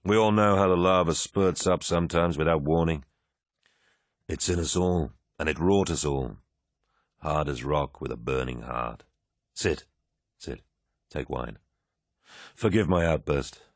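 A man voicing a furious, commanding warlord who roars and then growls low. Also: unhurried, pauses often, no echo, studio quality, heavily acted. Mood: weary, gruff.

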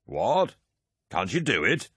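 A man's voice: lowish voice, wildly swooping pitch, takes it slowly, a bit bright, breathy edge, no room sound, studio quality, exaggerated voice. A man voicing a boisterous, jovial male warrior captain who teases and calls out to comrades in an audiobook story. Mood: mocking. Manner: teasing, exclaiming.

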